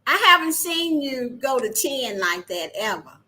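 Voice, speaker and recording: A woman's voice: high-pitched, a little slow. A woman business owner in a casual chat, teasing her friend and laughing often as she tells stories. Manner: laughing, teasing, animated storyteller.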